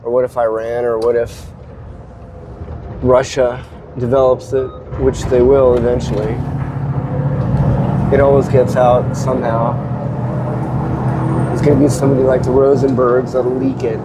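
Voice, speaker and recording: Guy with a stuffy head cold rambling on, mid pitch, lots of noise behind him in a car. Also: level tone, measured pace, long pauses. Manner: rambling, hesitant, many restarts. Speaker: host. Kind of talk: casual spoken commentary.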